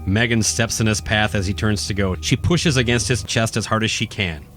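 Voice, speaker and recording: A man with a fairly low voice narrates a radio play. He reads scripted, dramatic scene description while music plays clearly behind him. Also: moderate pace, fairly bright tone. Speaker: podcast host, narrator.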